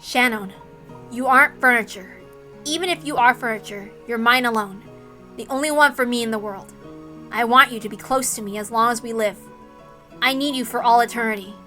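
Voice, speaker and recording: An earnest young man from a story reading, played by a woman, stubborn and devoted. The voice is bright and resolute, declaring itself firmly with faint music behind it. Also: fairly high pitch, fairly quick. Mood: tender, ardent.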